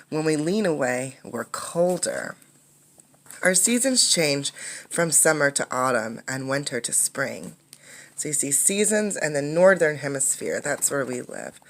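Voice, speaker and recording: Woman, low-pitched voice, teacher, interactive read-aloud, instructive, explanatory asides and questions. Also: a bit bright.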